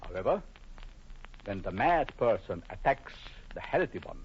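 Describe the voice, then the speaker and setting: Vintage radio horror villain: jealous doctor, silky threats, very animated delivery, muffled broadcast sound. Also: male voice, lowish voice, moderate pace, breathy edge, heavily acted. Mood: gloating menace. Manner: menacing, mock-polite, theatrical. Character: vengeful jealous husband, coldly sinister doctor with mock courtesy. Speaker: actor.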